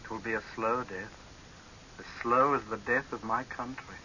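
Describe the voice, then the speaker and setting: Bitter rebel out for revenge, very sharp forceful voice, impassioned, hissy old radio drama. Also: male voice, medium pitch, fairly even intonation, moderate pace. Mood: menacing, vengeful. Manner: intense, melodramatic, impassioned. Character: bitter, vengeful male citizen rebelling against his tyrant. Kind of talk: 1930s radio horror drama acting. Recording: hissy, tinny-dull 1930s radio recording.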